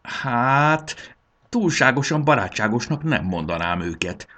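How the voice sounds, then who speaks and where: Storybook young female dragon, male reader: knowing explainer, pitch lifted, expressive. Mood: explaining. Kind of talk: dramatized children's storybook reading.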